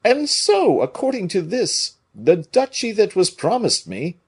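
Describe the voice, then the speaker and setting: A man reading an audiobook, voicing a big, blustering, naive but good-hearted nobleman with loud, emphatic exclamations. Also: sits high, wildly swooping pitch, a little slow, husky, pitched above the performer's own voice, heavily acted. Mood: dismayed, blustering.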